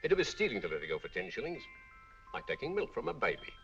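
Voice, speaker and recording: A jovial auctioneer who coaxes bids with salesman patter. His rides a lively, singsong pitch. The old film sound is dull, with music playing beneath. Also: quick pace, vocal fry. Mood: coaxing. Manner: chatty salesman patter, coaxing and chuckling. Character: jovial fast-talking London auctioneer, male, middle-aged. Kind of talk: 1940s film dialogue.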